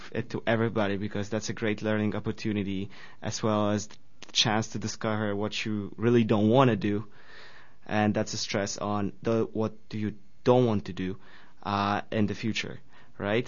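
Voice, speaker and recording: A young man in his twenties from Poland with a Polish accent, a student guest talking earnestly in slightly nonstandard phrasing. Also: on the low side. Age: 20s. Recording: dull lo-fi radio recording.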